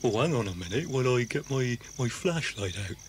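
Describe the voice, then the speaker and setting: A slow-witted farmer for a children's audio play. He sounds timid and dithering, with a really breathy, raspy voice and animated pitch. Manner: hesitant, dithering. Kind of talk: children's audio drama acting.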